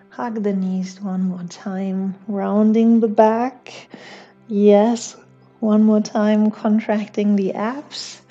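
Slow, breathy woman guiding relaxation. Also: mid-range voice, dull audio. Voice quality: soft, breathy. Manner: soothing, hushed, often near-whispered delivery. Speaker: yoga instructor. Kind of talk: guided relaxation meditation.

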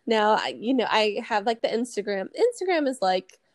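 A woman's voice: medium pitch, sing-song, normal speed, no echo. A woman in her early thirties co-hosting a casual personal chat, talking in a chatty, rambling way with plenty of "like". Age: early 30s. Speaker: podcast co-host.

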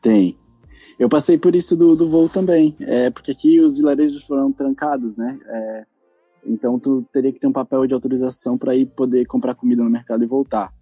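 A male podcast guest talks plainly and matter-of-factly. His voice is very dark and husky, recorded dry and close. Faint music sits underneath. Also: medium pitch, steady tone, fairly quick, no room sound. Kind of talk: casual chat.

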